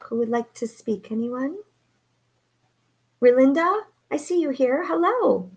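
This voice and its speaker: A woman's voice: high voice, animated, measured pace, a little mellow, husky, highs cut off. A woman hosting a live online talk as a coach, upbeat and preacherly, speaking from a home setup. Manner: upbeat, preacherly, many fillers. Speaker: host and coach. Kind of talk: live-streamed motivational talk. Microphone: home setup, no studio.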